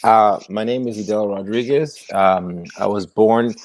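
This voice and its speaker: Low-voiced middle-aged man, hesitant. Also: moderate pace, dry recording. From Cuba. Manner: hesitant, many fillers. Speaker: panel guest illustrator. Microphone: video call with earphones.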